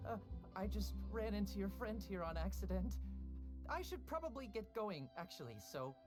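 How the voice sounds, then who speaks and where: A woman's voice: medium pitch, sing-song, moderate pace, lightly acted. Woman doing a nervous sorry paper girl, game music playing under her. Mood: flustered. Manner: apologetic, nervous. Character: flustered young female newspaper seller. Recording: game audio with music behind.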